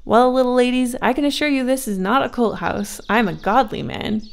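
A woman voicing a burly, friendly male woodcutter who talks in a loud, folksy, reassuring way. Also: fairly high pitch, steady tone, fairly quick, vocal fry, pitched above the performer's own voice. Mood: amused.

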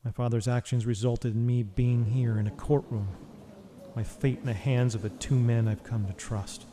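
A man's voice: mid-range voice, fairly even intonation, normal speed, in a large hall, background noise, close to an everyday voice. A man playing a brooding, haunted vigilante hero, narrating a noir inner monologue in an audio drama. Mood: wistful.

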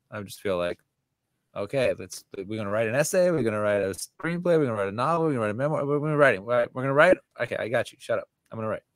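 A man, talking candidly as an interview guest, animated and self-deprecating, close to a studio mic at home. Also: no room sound. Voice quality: scratchy low voice. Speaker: musician and author, guest. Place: home room with a studio microphone. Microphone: large studio condenser mic on a stand.

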